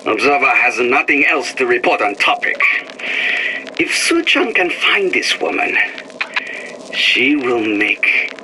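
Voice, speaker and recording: An actor playing a methodical male lab observer who talks in halting, broken phrases in a game audio log. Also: medium pitch, full character voice, low-quality recording. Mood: clinical. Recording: audio log with steady background noise.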